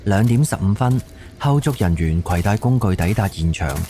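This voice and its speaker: Mellow, low-voiced male Cantonese narrator. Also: lively intonation, moderate pace, slight background noise. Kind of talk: scripted story narration.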